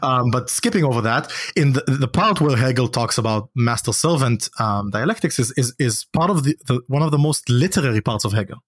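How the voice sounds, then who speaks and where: Native hebrew speaker guy as podcast guest, rambly brainy chat, keeps checking you follow, mid pitch, dry sound. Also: animated, fairly quick, hardly any pauses, slightly rough, no room sound. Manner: discursive, often checks with "right?". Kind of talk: intellectual conversational discussion.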